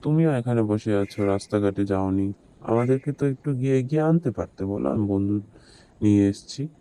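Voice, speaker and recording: A young city man in a horror cartoon, played by a man. He talks casually and conversationally. His voice is fairly low and deeply mellow, with very little brightness. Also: a little fast, lightly acted. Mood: reproachful. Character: young city man visiting an eerie village. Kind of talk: horror cartoon story dubbing.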